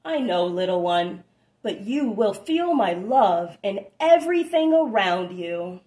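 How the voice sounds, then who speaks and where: A woman's voice: mid-range voice, sing-song, takes it slowly, dark tone. A tender mother elephant from a picture book, read aloud by a woman. She speaks unhurriedly and with a lot of melodic movement. Her manner is soothing and reassuring, full of love. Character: loving, reassuring mother elephant, adult female. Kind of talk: expressive children's storybook read-aloud.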